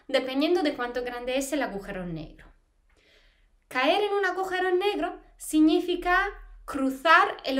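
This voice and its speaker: A woman in her thirties from Italy with an Italian accent, a postdoctoral researcher explaining astronomy to school students in an expressive, lively way, recorded in a small plain room by a camera a few feet away. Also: sits high. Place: small plain indoor room against a wall. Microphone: camera a few feet away, head to waist framing.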